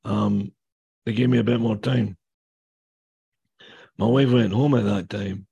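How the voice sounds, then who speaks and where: Older male guest speaker, raspy and gravelly, low flat delivery, blunt and plainspoken. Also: unhurried, muffled recording. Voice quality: raspy voice from damaged vocal cords. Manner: plainspoken, blunt, mild swearing. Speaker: guest speaker sharing recovery story. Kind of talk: personal testimony talk.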